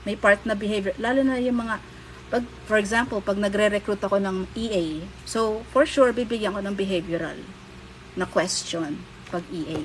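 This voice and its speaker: A Filipino-accented woman in her thirties chats casually on a livestream, blunt and candid, swearing now and then. Her voice sits at a middle pitch for a woman, with a faint hum of background noise. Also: a little fast, rough recording. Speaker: livestream host and career coach.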